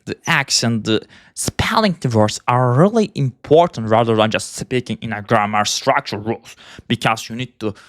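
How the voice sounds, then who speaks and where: A man in his twenties from Turkey with a Turkish accent, speaking English as a second language in a halting, rambling personal monologue. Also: mid-range voice, no echo, clean studio sound. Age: 20s. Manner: halting, searching for English words. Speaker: vlogger.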